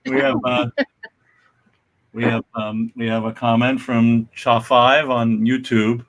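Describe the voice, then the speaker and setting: A man hosts an informal live chat. His voice is medium in pitch and gravelly, and he speaks haltingly, often doubling back on his words. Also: expressive, a bit bright, rough recording. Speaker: host thanking the cast. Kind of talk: casual, unscripted live chat.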